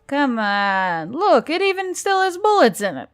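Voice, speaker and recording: A woman's voice: high voice, big pitch swings, a little slow, soft background music, voice pushed up. A woman voicing an upbeat, cheeky female centaur scavenger in an audiobook, breezy and teasing.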